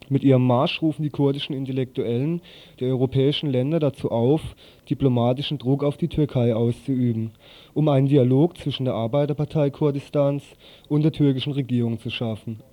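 A man reading a radio news script in a halting way, sometimes stumbling over words. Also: mid-range voice, dark tone.

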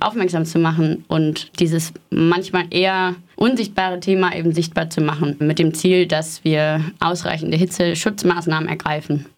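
A female activist with a slightly low voice answers questions in a studio interview. She explains in an orderly, informative way. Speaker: climate and health activist being interviewed. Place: radio studio.

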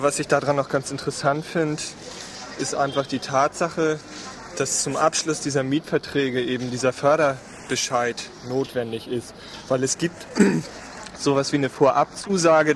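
A man speaking spontaneously as a member of a housing initiative in an interview. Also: mid-range voice. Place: outdoors on a town square. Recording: outdoor street interview with crowd and traffic.

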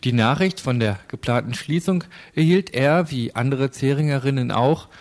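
A male radio reporter with a mid-pitched voice. He delivers scripted local news narration and interview questions. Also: moderate pace, a little mellow.

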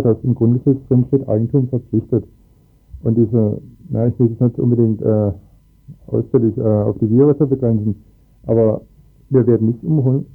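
Casting: man, earnest local interviewee, medium pitch, narrow tinny sound. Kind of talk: political discussion in a radio interview. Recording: tinny phone-like band, music behind.

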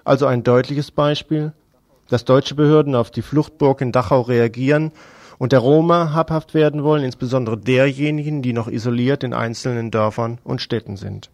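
Creaky-voiced man reading a news report. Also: mid-range voice, normal speed, darkish tone, dry recording. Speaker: radio news presenter.

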